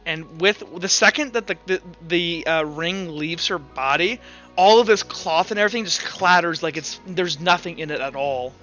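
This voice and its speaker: Male game master, forceful bright tone, improvising casually over background music, lo-fi sound. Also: fairly high pitch. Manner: halting, informal, improvised narration. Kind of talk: narrating a tabletop roleplaying game, casual. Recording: dull lo-fi audio, music underneath.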